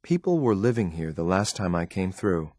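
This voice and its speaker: Low, deadpan synth agent. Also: male voice, no room sound, clean studio sound. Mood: detached, observant. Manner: clipped, measured, formal, deadpan. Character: stoic, dutiful synth agent, cold and formal adult male. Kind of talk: scripted video game companion lines.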